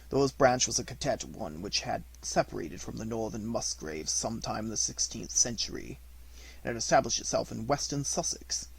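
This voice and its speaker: A man reading a detective story aloud as an amateur audiobook narrator, in an expressive manner. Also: mid-range voice, gravelly, husky, poor audio.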